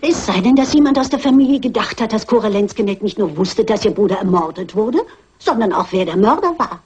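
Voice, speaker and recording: Elderly lady detective, very raspy voice, polite, insistent, wry, radio crime drama. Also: mid-range voice, expressive, fairly quick, darkish tone, dull audio. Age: older. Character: shrewd, persistent elderly lady amateur sleuth. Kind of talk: German radio crime play acting.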